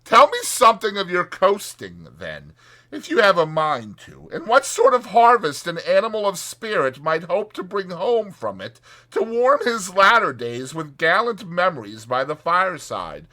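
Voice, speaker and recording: Man as an earnest high-pitched water rat. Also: very animated, unhurried, pushed and bright, exaggerated voice. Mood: wistful. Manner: hesitant, earnest, wondering. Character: earnest, curious water rat, wistful stay-at-home. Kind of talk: dramatized audiobook character reading.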